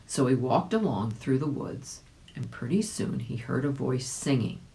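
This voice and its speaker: A woman's voice: very deep voice, lively intonation, unhurried, creaky, warm tone, low-quality recording. A woman reading aloud as a volunteer, narrating a children's storybook expressively.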